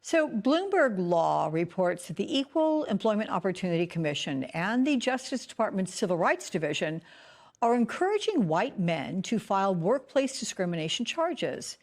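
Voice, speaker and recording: A woman's voice: medium pitch, very animated, normal speed. A woman anchoring a news broadcast, putting questions to a guest in a presenter's style.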